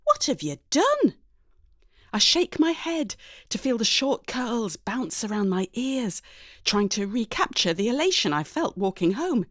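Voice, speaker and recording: A woman with a British accent reading a first-person story dramatically, with an expressive, wry, exasperated manner. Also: fairly high pitch, a little slow, no room sound, studio quality. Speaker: story reader.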